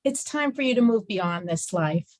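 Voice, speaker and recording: A woman's voice: medium pitch, expressive, moderate pace, natural voice. A woman playing a coddling, sweetly placating mother of a grown son in a comedy table read. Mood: gently firm. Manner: sweetly coaxing, protective.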